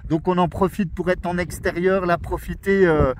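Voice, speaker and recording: A man chatting on the radio outdoors on a mountain at night, relaxed and friendly as he explains his gear. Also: high voice, moderate pace, slight background noise. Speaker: radio amateur and YouTuber. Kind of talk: casual radio chat.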